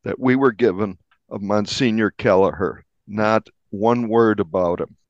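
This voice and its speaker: American man, reporter in interview, measured storyteller, slightly deep, very dry lo-fi sound. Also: no echo. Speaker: newspaper reporter being interviewed. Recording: dull, dry lo-fi recording.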